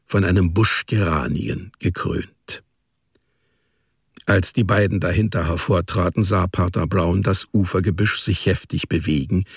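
A male storyteller narrates a radio drama in a deep, creaky voice. His delivery is measured and deliberate, like scripted literature read aloud. The recording is close and dry. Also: no echo.

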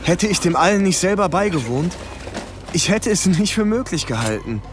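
An actor playing a former soldier who recounts war in a sober, precise first-person radio drama narration. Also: male voice, sits high, very animated, moderate pace, slight background noise. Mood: sober, haunted. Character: male ex-soldier soberly recalling war horrors. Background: music.

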